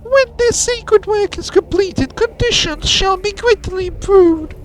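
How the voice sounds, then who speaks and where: A fussy priest voice, pitched up high and held thin and strained, put on by a man with a cold. It comes out raspy and slow, every phrase stiff and formal, over a faint hiss. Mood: solemn.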